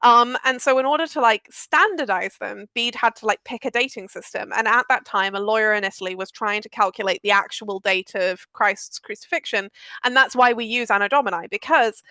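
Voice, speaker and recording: A woman's voice: on the high side, fairly quick, pushed and bright, no room sound. A woman explaining history casually and with great enthusiasm, emphatic and effusive, happy to swear. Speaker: guest audio drama maker.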